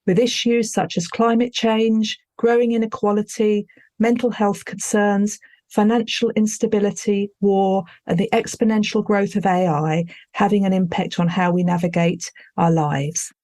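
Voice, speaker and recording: A woman hosting a podcast panel, welcoming guests in a measured, courteous way. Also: mid-range voice, level tone, dark tone. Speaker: podcast host.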